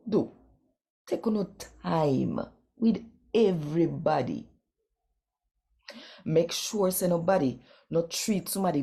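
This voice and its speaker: A woman with a Jamaican accent hosting and reading scripture aloud in Jamaican Creole, deliberately and expressively. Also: lowish voice, warm tone. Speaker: host and scripture reader.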